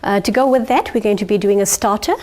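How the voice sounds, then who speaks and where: A woman's voice: medium pitch, quick pace, darkish tone. A woman with a South African Indian accent, a guest chef patiently explaining dishes and customs on a cooking show. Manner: patient, plainly explaining, lightly amused. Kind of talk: cooking demonstration chat.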